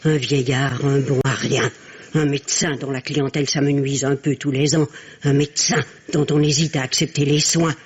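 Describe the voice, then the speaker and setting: An actress playing a haughty, domineering old mother in a French radio drama, cutting and theatrical, with a husky voice. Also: extremely low pitch, level tone, normal speed. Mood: scornful. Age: older. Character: haughty, domineering old French matriarch, scornful of her son.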